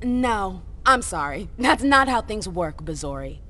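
An actress playing a defiant, sharp-tongued vampire socialite in a video game, casual and sarcastic in manner. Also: female voice, mid-range voice, very animated, unhurried. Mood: indignant. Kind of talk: dramatic game cutscene acting.

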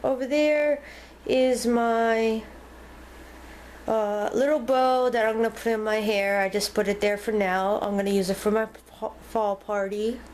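A woman filming a casual tour of her home and naming each item as she goes, pausing and restarting often. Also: a little high, a little mellow, a little hiss or hum. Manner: halting, with frequent ums and restarts. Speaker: vlogger giving a home decor tour. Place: inside a home, walking room to room. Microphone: handheld camera held by the speaker.

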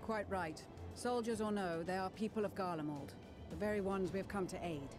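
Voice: mid-range voice, fairly quick, music in the background, close to an everyday voice. An actor playing a composed, principled commander, speaking formally and with measure in scripted game dialogue. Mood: composed, resolute. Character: composed, principled commander giving a military briefing.

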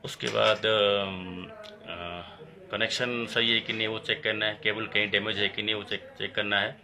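A young worker listing safety checks step by step, with hesitant fillers, in a wood-panelled room. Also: male voice, fairly low pitch, level tone, normal speed, a crowd in the background. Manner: methodical, steps listed one by one, hesitant fillers. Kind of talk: on-site interview.

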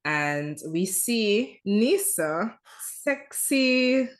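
A woman hosting a casual podcast, recounting film scenes in an animated, amused way. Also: mid-range voice, very slow. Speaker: podcast host. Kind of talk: casual chat.

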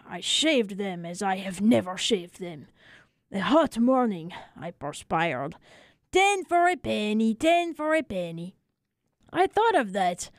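Woman as an excitable little French barber, dramatic. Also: medium pitch, no room sound, studio quality. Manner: dramatic, emphatic, exclamatory. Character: excitable, tender-hearted little French barber telling his tale.

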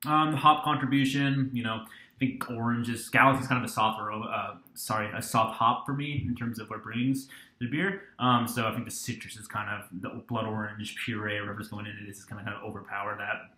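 Young man, twenties, low-ish voice, easygoing rambler with filler words, webcam in a roomy-sounding small room. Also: quick pace. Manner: relaxed, rambling, many ums and restarts. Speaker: beer reviewer. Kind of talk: casual beer review vlog. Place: small dorm-like room indoors.

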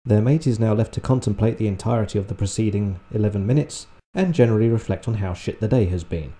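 A British man giving a scripted review narration in a deadpan, sardonic, sweary manner. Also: on the low side, fast talker, husky. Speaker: film reviewer.

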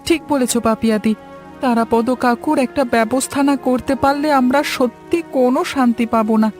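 A woman's voice: sits high, steady tone, fairly quick, darkish tone, faint music behind, lightly acted. A woman voicing an earnest, polite and hardworking poor village boy in a cartoon story. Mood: worried.

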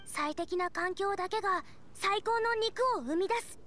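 A woman's voice: very high-pitched, unhurried, a little hiss or hum. An actress playing a cheerful, eager young girl chef in an anime game, bubbly and earnest. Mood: apologetic. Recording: clean studio voice over soft music.

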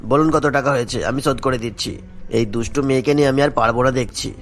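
A mid-pitched middle-aged father , played by a man, embarrassed and exasperated. He scolds in cartoon style with music behind him. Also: quick pace, lightly acted. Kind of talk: cartoon story acting.

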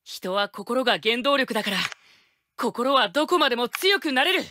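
An actor playing an earnest, determined teenage boy swordsman in an anime game, sincere and intense, often shouting. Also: medium pitch, expressive, moderate pace, noisy background, natural voice. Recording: loud game music behind the voice.

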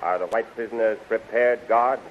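A man's voice: on the low side, fairly even intonation, normal speed, natural voice. Guy playing bossy alien prince, old 30s radio drama, stern and theatrical, dull hissy sound. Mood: menacing, stern. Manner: commanding, theatrical. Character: stern, imperious alien prince commanding soldiers. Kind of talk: 1930s radio serial acting. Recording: muffled, hissy 1930s radio recording.

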